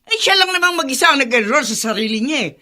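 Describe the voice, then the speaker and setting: A woman's voice: sits high, animated, fast, sharp tone. A woman playing a callous, brash, lying aunt in a Filipino radio drama, scolding and dismissive. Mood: irritated, dismissive.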